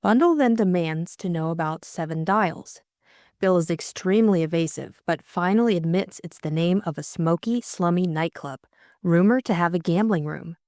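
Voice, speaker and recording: Lower-pitched female audiobook narrator. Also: moderate pace, a little mellow, no room sound, studio quality. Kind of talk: scripted story narration.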